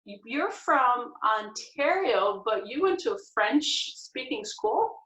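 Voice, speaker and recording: An American woman in her forties hosting a casual video-call interview, asking animated, chatty questions. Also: fairly high pitch, slow. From United States. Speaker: host. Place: home room with bookshelf. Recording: echoey, dull video-call audio.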